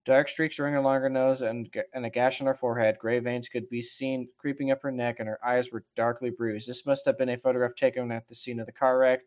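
Guy reading stories out loud casually, irreverent and sweary, kinda dull lofi mic. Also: medium pitch, level tone, brisk, few pauses. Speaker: co-host reading stories aloud. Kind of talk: casual reading aloud with joking commentary. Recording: dull, lo-fi microphone.

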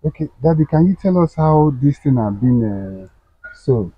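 A man's voice: on the high side, lively intonation, normal speed, slightly rough, no room sound. A man with a Nigerian accent asking a farmer questions outdoors, talking hesitantly and repeating phrases. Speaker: co-interviewer. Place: outdoors on a farm. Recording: dull sound with faint noise.

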